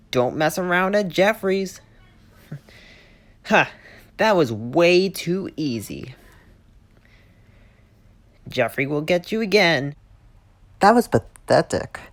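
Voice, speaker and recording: An actor voicing a boastful, threatening animatronic mascot in a fan game, taunting the listener. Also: mid-range voice. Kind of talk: fan game character voice lines.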